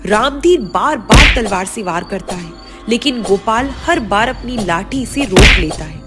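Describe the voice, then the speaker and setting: A woman storyteller giving dramatic scripted narration of an animated tale. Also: medium pitch, measured pace, loud music behind the voice.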